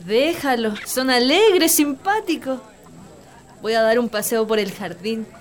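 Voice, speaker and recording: Actress as young country girl, gentle n wistful, higher voice, unhurried, radio drama. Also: animated. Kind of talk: radio play acting.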